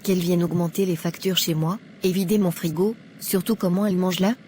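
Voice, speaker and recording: A woman playing a judgmental young churchgoer in an animated moral drama, chatty and smug. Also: on the low side, a little fast, slight background noise, lightly acted. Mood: smug disapproval. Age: young adult.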